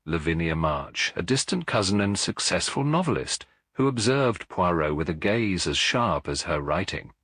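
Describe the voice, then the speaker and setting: A man reading a scripted mystery story as an audiobook narrator, in a measured, formal, dramatic storytelling manner. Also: deep voice, big pitch swings, a bit bright, dry recording, clean studio sound.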